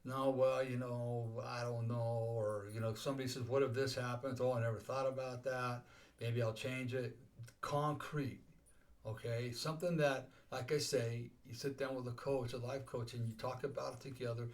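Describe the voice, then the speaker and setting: A man's voice: mid-range voice, level tone, moderate pace. A man in his sixties hosting a life coaching podcast, talking casually and often repeating words and checking "okay?". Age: 60s.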